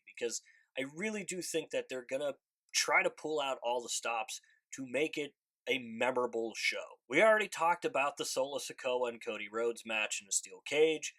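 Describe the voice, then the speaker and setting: A man's voice: medium pitch, fairly bright tone. A man with a congested voice from allergies, talking through his predictions in a casual solo video, often repeating himself as he thinks aloud. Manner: speculative, conversational, repeats "I think" and "again". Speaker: wrestling commentary YouTuber.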